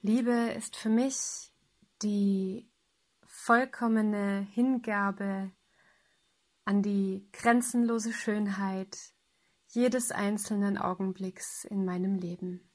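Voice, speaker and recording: A woman in her early thirties gives a personal statement. Her pitch is average and her delivery is very unhurried. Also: steady tone, a little mellow.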